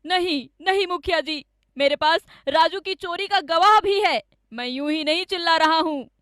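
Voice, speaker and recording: A woman's voice: extremely high pitch, normal speed, fairly bright tone, no echo, clean studio sound, pitched above the performer's own voice. A woman voicing a scheming, greedy village man with an insistent, dramatic manner in a cartoon story. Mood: indignant, insistent. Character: scheming greedy village man, falsely accusing his friend.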